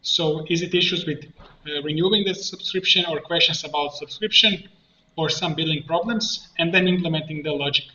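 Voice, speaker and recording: A man giving an unscripted screen-share software tutorial, explaining step by step in a halting way. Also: on the high side. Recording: muffled roomy mic with faint noise.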